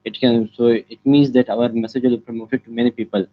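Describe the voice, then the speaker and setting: A teenage boy from Pakistan, a native Pashto speaker, answering interview questions over a call, halting and filling pauses as he goes. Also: mid-range voice, level tone, muffled recording. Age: teens. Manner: halting, many fillers and restarts. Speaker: guest, student web developer.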